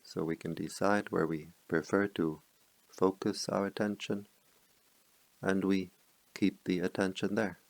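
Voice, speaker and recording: A middle-aged man leads a guided meditation in a slow, calm, softly spoken way, with long pauses between phrases. His voice sits very low and carries a gravelly texture. The sound is close and dry, with no room echo. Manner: chants Pali verses in a low murmur. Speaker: meditation teacher.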